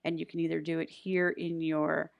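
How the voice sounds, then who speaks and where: A woman giving a casual tutorial in a chatty, playfully self-deprecating manner, recorded close in a quiet room. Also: sits low, little pitch movement, moderate pace, creaky, no echo, lo-fi sound. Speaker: voice actor giving an audio editing tutorial.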